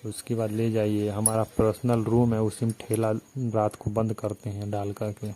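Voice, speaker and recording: A man's voice: on the low side, monotone, moderate pace, warm tone, close to an everyday voice. A man playing a flirtatious, pleading fruit-cart seller with an eastern Uttar Pradesh accent, coaxing and rambling colloquially as in a phone romance sketch. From Barabanki, Uttar Pradesh, India. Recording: noisy phone-call recording, constant hiss.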